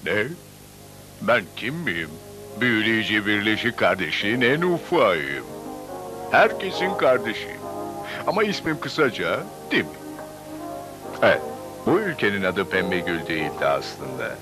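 A man's voice: on the low side, big pitch swings, measured pace, pauses often, over music. A man voicing a small friendly magical creature who guides and narrates in a dubbed cartoon. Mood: welcoming, storytelling. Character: small friendly magical creature, everyone's brother, guiding storyteller.

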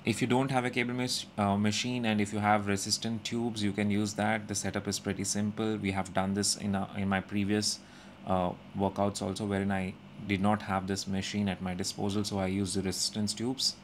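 A young man calmly narrating his gym workout as a voice-over, explaining each step with frequent pauses. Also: fairly low pitch, a little hiss or hum. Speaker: fitness vlogger. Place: indoor gym.

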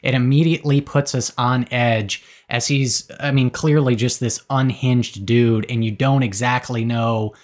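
A man's voice: medium pitch, fairly even intonation, unhurried, few pauses. A man in his thirties giving a casual, enthusiastic movie review to camera, with frequent fillers and restarts, recorded close on a desk mic in a small room. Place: small indoor room with shelves of collectibles. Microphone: desk studio mic close in front.

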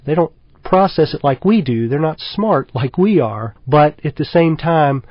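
A middle-aged man from Kentucky talks through his answers in a relaxed, thoughtful way, with plenty of fillers along the way. The recording is dry and slightly dull, like a small radio studio. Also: fairly high pitch, measured pace, dry recording. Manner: easygoing, thoughtful, lots of fillers. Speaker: wildlife biologist guest. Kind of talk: radio interview.

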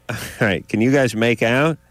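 A man's voice: mid-range voice, unhurried, no echo. A middle-aged man hosting a radio call-in show, riffing sarcastically with callers and co-hosts on a studio mic. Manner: sarcastic, riffing with comic tangents. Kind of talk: casual radio banter with callers. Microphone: studio microphone.

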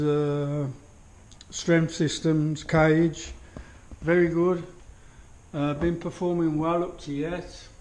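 A man giving a relaxed walkthrough of his home gym, admiring each piece of kit with many pauses and fillers, recorded on a handheld action camera in a small indoor room. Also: fairly high pitch. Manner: unhurried, full of fillers, admiring. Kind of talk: casual walkthrough commentary. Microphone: action camera held while walking.

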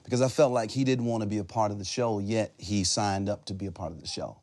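A man's voice: on the low side, quick pace, darkish tone. Emphatic, defensive man on a panel. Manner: defensive, emphatic, repeats himself. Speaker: pastor and gospel musician. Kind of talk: heated reunion-show panel talk.

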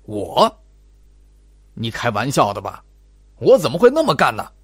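Guy acting a shocked young girl, hurt and protesting, pitch jumps around, audiobook. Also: wildly swooping pitch, a little slow, husky, no room sound, clean studio sound, full character voice. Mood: incredulous. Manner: incredulous, stammering protests. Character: startled, hurt young woman protesting her innocence.

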